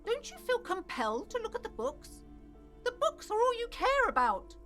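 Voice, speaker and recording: A man voicing a pushy, insistent female shopkeeper in a high falsetto while reading game dialogue aloud. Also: wildly swooping pitch, moderate pace, fairly bright tone, faint music behind, heavily acted. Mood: coaxing. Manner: high falsetto, swooping, coaxing and bossy.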